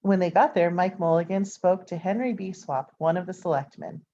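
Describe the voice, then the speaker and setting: A woman reads a children's picture book aloud in a fairly low, very mellow voice. She tells it expressively, with informal asides. The recording is slightly dull and lo-fi. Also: moderate pace, slight background noise. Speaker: storybook reader. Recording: dull, lo-fi sound.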